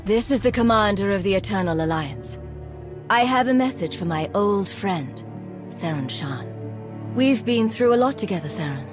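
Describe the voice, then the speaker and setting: A woman's voice: medium pitch, animated, normal speed, music in the background, lightly acted. Woman playing a determined, wounded female commander. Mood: steely. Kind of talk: dramatic video game cutscene acting.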